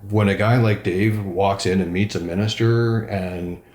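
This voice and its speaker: A man's voice: low-pitched, steady tone, measured pace, echoey room. A man, a regional councillor, answering interview questions with emphatic enthusiasm. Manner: enthusiastic, emphatic, self-described loud voice.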